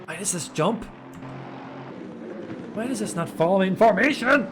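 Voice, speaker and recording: A man giving chatty, excitable live commentary on an online race, stumbling over drivers' names. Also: high-pitched, unhurried, music in the background. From Ireland. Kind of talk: live sim racing commentary.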